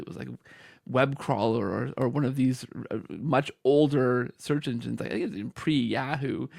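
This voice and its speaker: A man's voice: medium pitch, gravelly, a little mellow, no room sound, clean studio sound. A man hosting a conversational interview, talking in a rambling, anecdotal way. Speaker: podcast host interviewer.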